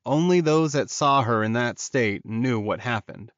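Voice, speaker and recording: A man narrates a fantasy romance audiobook at a medium pitch. The recording is dry and close, with a slightly dull top end. He reads scripted prose as a storyteller. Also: animated, a little slow, fairly bright tone, no room sound.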